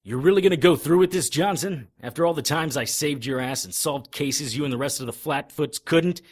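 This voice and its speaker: A man's voice: on the high side, a little fast, no room sound, studio quality, lightly acted. A man playing a tough, sardonic private investigator in a noir radio drama, both narrating and speaking in scenes. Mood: angry, sarcastic. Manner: hard-boiled, sardonic. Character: tough, defiant, wry male private investigator. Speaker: lead actor and narrator.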